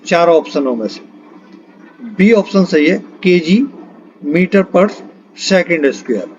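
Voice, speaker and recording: A man's voice: fairly high pitch, expressive, slow, muffled recording. A man teaching an exam-prep lesson, reading out multiple-choice questions and their answers.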